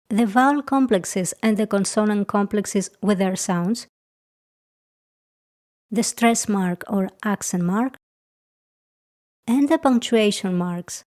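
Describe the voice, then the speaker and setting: A woman with a Greek accent talks through a beginner lesson in a scripted, measured way. She speaks slowly and carefully, sounding out each point clearly for learners. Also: medium pitch, darkish tone. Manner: slow, careful, clearly enunciated. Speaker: language teacher.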